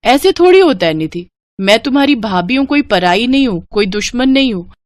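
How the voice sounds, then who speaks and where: A woman voicing a caring sister-in-law who scolds and admonishes in an animated moral story. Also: on the high side, a little fast, no echo. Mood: scolding. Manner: chiding, admonishing.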